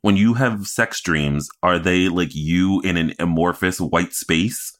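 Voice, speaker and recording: A man's voice: deep voice, slow, fairly bright tone. A man co-hosting a podcast, chatting casually and animatedly about television. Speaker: podcast co-host. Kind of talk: casual banter about a TV show.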